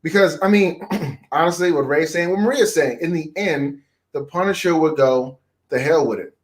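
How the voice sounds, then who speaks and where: A man's voice: a little high, moderate pace, slightly rough. A man chatting casually as a co-host, talking in an emphatic, slangy way. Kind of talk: casual chat.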